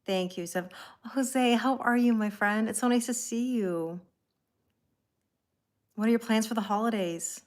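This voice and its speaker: A woman's voice: a little high, measured pace, long pauses. A Canadian woman, probably in her thirties, hosting a tarot live stream and chatting casually with viewers, greeting them by name and laughing often.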